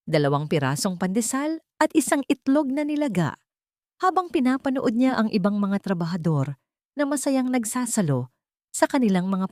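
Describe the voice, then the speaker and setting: A woman's voice: mid-range voice, darkish tone, dry recording, studio quality. A woman with a Filipino accent giving a scripted, dramatic story narration.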